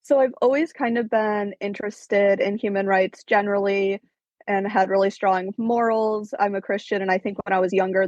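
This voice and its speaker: A young woman answers earnestly as a guest on a podcast, her voice level and even. Her audio is dull and lo-fi, with a dry, close sound. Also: medium pitch, no echo. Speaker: podcast guest, human rights education fellow. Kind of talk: personal interview answer.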